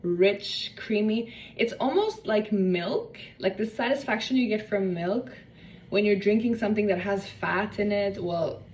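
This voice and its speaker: A woman's voice: medium pitch, a little slow, darkish tone. Chatty woman, slightly distant mic. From Norway. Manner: chatty, rambling, wanders off on tangents. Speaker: cooking vlogger. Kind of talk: casual cooking vlog chat. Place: home kitchen. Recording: slightly distant mic with faint room noise.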